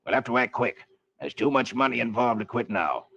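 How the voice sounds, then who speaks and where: A man playing a gruff, impatient city crook, curt and bossy, in a vintage children's radio reading. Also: mid-range voice, expressive, brisk, fairly bright tone, exaggerated voice. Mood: businesslike, urgent. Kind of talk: 1940s children's radio comic-strip reading. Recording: muffled vintage radio recording.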